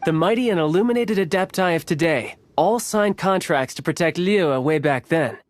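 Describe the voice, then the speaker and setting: A man playing a suave, slyly friendly young foreign agent in a video game, with a smooth, teasing, theatrical manner. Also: fairly high pitch, expressive, normal speed, no room sound, close to an everyday voice. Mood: confiding. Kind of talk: video game cutscene acting.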